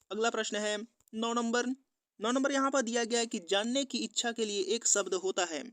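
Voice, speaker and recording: High, clear-voiced man teaching a quiz. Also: fairly bright tone, dry recording. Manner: methodical, repetitive instructional delivery. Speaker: exam-prep teacher. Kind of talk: quiz-style lesson narration, reading questions and giving answers.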